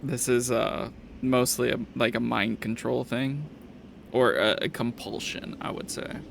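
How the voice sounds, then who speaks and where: A man's voice: medium pitch, steady tone, a little slow, creaky, a bit bright, a little hiss or hum. A man acting as game master, casually narrating and calling for checks.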